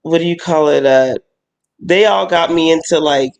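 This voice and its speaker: A woman who performs at haunted attractions, talking in a relaxed, rambling way with lots of fillers and restarts. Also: deep voice, normal speed. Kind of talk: casual chat.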